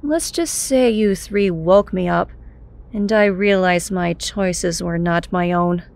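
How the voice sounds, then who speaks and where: A woman's voice: fairly low pitch, takes it slowly, creaky, darkish tone. A woman playing a battle-hardened, defiant former assassin turned ally in an audio drama. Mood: urgent, bitter.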